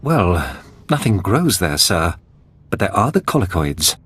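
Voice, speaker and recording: A dutiful army captain in a video game. His voice is lowish and husky with varied pitch, and his manner is cautious and respectful. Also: big pitch swings, a little slow, a bit bright, dry recording, full character voice. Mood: cautious, reporting. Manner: deferential, cautious. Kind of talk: video game cutscene acting.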